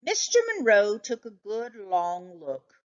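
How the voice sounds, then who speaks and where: Slow-paced woman reading a children's story. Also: mid-range voice, big pitch swings, dull audio. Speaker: teacher reading aloud. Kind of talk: expressive children's story narration.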